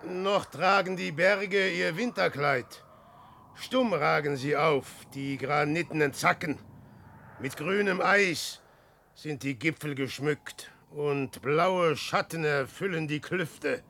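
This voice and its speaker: Self-centred wanderer, high bright voice, slow theatrical delivery, vintage radio drama with faint noise. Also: male voice, creaky, heavily acted. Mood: brooding, self-important. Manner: theatrical, declamatory. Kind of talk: 1960s radio drama acting.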